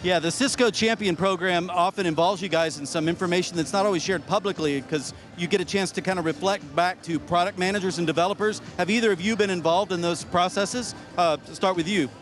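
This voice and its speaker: A man co-hosting from a convention show floor, chatty and lively as he interviews guests. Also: high-pitched, brisk, hardly any pauses, soft background music. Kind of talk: live event interviewing.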